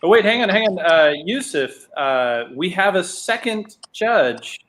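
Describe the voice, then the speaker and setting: A man's voice: sits high, expressive, measured pace, a bit bright. A man co-hosting a casual online drinks show, teasing and explaining at length. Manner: wry, teasing, then long explanations. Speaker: co-host.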